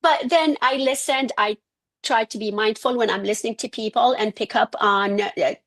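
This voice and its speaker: A woman's voice: on the high side, normal speed, fairly bright tone. A middle-aged woman from Syria with an Arabic accent, a physician telling her personal story in an interview, earnest and restarting her sentences often. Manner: earnest, with frequent restarts and self-corrections. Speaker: guest, physician.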